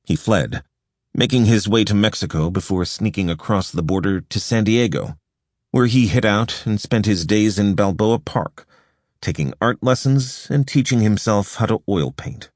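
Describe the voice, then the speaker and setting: A man with a very deep voice reads a nonfiction audiobook in a measured, even storytelling way. He is recorded close on a studio microphone in a completely dry room with no reverb. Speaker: audiobook narrator. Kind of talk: scripted audiobook narration.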